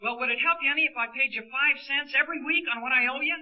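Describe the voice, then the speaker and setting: A man's voice: very high-pitched, very fast. An actor playing an earnest, evasive teenage boy in a 1940s radio comedy. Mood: placating, evasive. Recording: muffled vintage radio recording.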